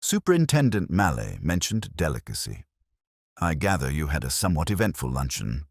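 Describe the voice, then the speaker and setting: A patient police inspector with a very deep voice, read by a male narrator in a detective story. He is mild, tactful and quietly amused, understated in a dry, close sound. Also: sing-song, fairly quick, creaky, no echo, full character voice. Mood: gentle, tactful.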